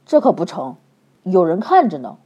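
A woman voicing an impatient young swordsman who talks loudly and bluntly. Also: fairly low pitch, very animated, moderate pace, husky, highs cut off, pitched below the performer's own voice. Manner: loud and blunt.

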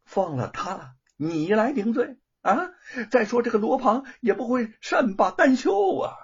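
A storyteller voicing a pompous, easily frightened middle-aged official with a husky voice. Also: mid-range voice, very animated, a little slow, muffled recording, pitched above the performer's own voice, exaggerated voice. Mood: scolding. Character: panicked pompous middle-aged male prefect.

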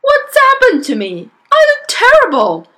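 A woman voicing a vain, blustering middle-aged father in a children's audiobook, yelling with indignant panic. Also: very high voice, wildly swooping pitch, measured pace, pitched above the performer's own voice, full character voice. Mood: horrified.

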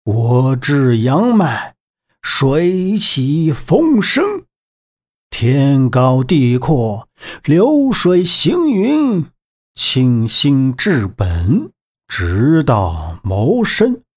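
A man voicing a calm old grandfather in an audiobook, reciting measured, meditative verse in a chanting delivery. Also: medium pitch, husky, exaggerated voice. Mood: serene, solemn. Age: older. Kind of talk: audiobook character voice.

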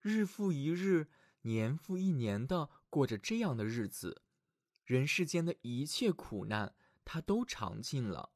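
A man tells a fairy tale as a storyteller for children. His scripted narration is dramatic and clearly phrased, with a pitch that rises and falls widely. The recording is dry and close. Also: big pitch swings, a little slow, a little mellow, no room sound. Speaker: children's storyteller, show host.

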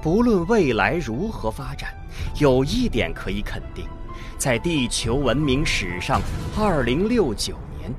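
A man narrating a scripted science fiction audio drama in a solemn, deliberate and dramatic manner. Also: mid-range voice, sing-song, music in the background.

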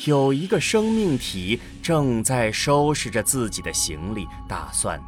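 Slow, expressive male storyteller. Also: medium pitch, faint music behind. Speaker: narrator. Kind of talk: scripted dramatic narration.

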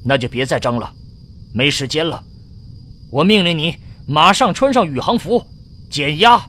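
A man acts a firm, self-sacrificing captain of about forty in a space radio play. The voice is a little high and lively, with slight noise behind it. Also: normal speed. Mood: firm, resolved. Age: middle-aged. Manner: firm, commanding. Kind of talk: Chinese science fiction radio drama acting.

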